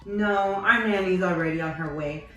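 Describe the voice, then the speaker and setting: A woman's voice: lowish voice, normal speed, a bit bright, close to an everyday voice. A woman reading as a curt, guarded teen girl. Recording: echoey room, distant mic, faint music.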